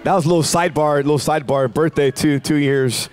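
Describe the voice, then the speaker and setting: A man in his forties preaching a sermon in a large church, speaking into a handheld mic with fervent, shouting delivery. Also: on the high side, steady tone, fast, faint music behind. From United States. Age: 40s. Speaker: pastor. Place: large church stage with congregation.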